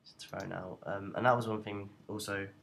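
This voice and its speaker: A young man giving an informal software tutorial in a hesitant, halting way. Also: lowish voice, fairly even intonation, gravelly, a little hiss or hum. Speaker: student tutorial presenter.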